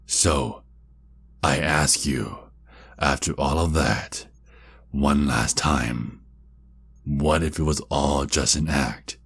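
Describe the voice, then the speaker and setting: A man playing a taunting, sinister centuries-old vampire kidnapper in an ASMR roleplay, with a breathy, husky voice and slow, mocking menace. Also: rumbling low, fairly bright tone, heavily acted.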